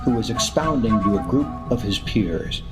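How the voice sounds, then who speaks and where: An actor playing the eerie host of a mystery radio show, narrating in a deliberate, dramatic old-time style. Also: male voice, lowish voice, lively intonation, over music, close to an everyday voice. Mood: grand, introductory. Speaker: announcer and narrator.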